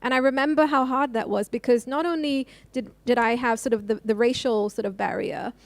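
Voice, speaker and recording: Thoughtful woman with a high-ish voice. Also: steady tone, brisk, dry recording. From Hong Kong. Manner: thoughtful, with many fillers and restarts. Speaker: lawyer and panel moderator. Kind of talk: panel discussion.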